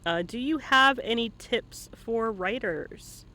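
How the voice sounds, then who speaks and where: A man's voice: extremely high pitch, animated, slow, sharp tone. A man hosting a casual livestream interview, chatting and telling stories in a playful way. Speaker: interviewer and stream host. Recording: live online stream audio.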